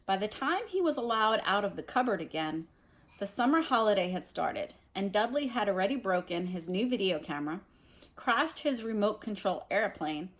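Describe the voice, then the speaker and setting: A woman's voice: medium pitch, fairly bright tone. Woman teacher reading aloud. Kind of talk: read-aloud of a children's novel with informal teacher talk.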